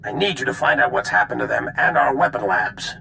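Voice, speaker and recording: A man playing a gruff, impatient military general in a dramatic video game. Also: medium pitch, lively intonation, fairly quick, pushed and bright, a little hiss or hum, exaggerated voice, rough recording. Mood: urgent, stern.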